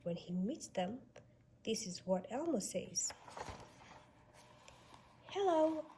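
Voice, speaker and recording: A woman reading a children's storybook aloud with expressive, sing-song delivery aimed at young children. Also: a little high, slow pace, background noise. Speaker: storybook reader.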